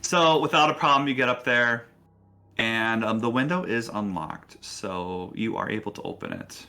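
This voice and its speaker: Male game master, lowish pitch, forward bright tone, obliging and halting, casual tabletop delivery.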